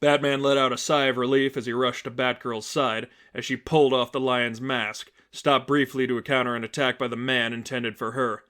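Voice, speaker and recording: Male story narrator, average pitch, deliberate dramatic delivery for scripted fiction. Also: level tone, fairly bright tone. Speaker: fan fiction reader, narrator.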